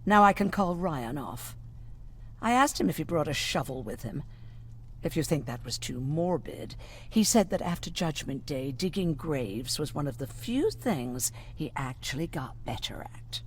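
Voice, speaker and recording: A woman's voice: low-pitched, lively intonation, a little slow, breathy edge, exaggerated voice. A woman playing a dry-humoured resistance medic in a video game, with wry, morbid delivery. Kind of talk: video game dramatic acting.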